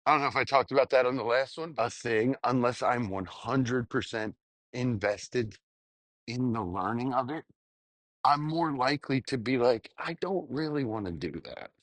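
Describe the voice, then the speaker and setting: A man talking casually to his audience in a rambling, self-deprecating spoken journal, halting and crudely sweary. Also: medium pitch, a bit bright, no echo. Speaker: vlogger.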